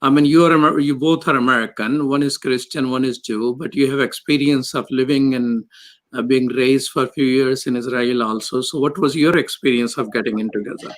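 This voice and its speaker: A man's voice: medium pitch, fairly even intonation, brisk. A man hosting a talk show, asking his guests questions with some hesitation. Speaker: host. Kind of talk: interviewing guests.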